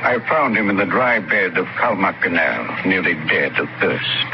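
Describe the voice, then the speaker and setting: An actor playing a gentle, stubborn old desert preacher who speaks solemnly and formally in an old-time radio drama. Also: male voice, low voice, animated, normal speed. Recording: muffled, noisy old radio recording.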